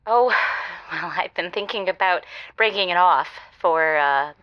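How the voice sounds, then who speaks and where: A woman playing an embarrassed, guilt-ridden caller on a comedy advice show, stammering and halting, in slightly dull audio. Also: lowish voice, lightly acted. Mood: hesitant, sheepish. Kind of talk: improvised comedic call-in character acting.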